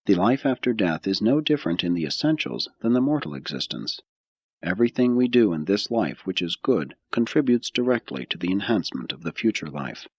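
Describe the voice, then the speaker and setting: A man reading a scripted audiobook of religious philosophy in a measured, solemn, deliberate way. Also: lowish voice, very animated, breathy edge, no echo, muffled recording. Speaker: audiobook narrator.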